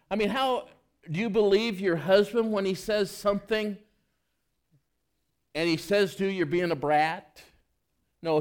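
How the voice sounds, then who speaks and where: Lively, high-voiced male pastor preaching. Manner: conversational, animated preaching with rhetorical questions. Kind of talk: sermon.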